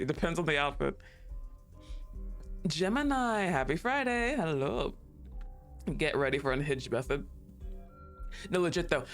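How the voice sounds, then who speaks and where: Streamer, casual livestream chat, rambling, a little tired, quiet background music. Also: mid-range voice. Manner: laid-back, rambling, laughs often.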